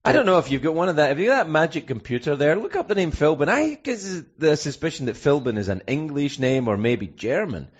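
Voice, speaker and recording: Rambling Scottish man, lively pitch. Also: a little fast, hardly any pauses, breathy edge, highs cut off. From Scotland. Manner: rambling, deadpan absurd jokes. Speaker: radio show host. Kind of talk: casual comedic chat.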